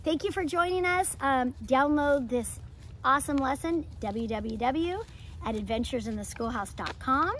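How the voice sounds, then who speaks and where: An enthusiastic, expressive woman presenting a children's lesson and picture-book read-aloud as a homeschool educator. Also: high voice, moderate pace. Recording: clear background noise.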